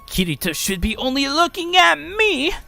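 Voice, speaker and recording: A man plays an obsessive, jealous yandere schoolgirl from a visual novel game. The voice is pushed high and bright, melodramatic and unhinged, with music behind it. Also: very animated, normal speed, creaky, heavily acted. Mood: possessive, unhinged.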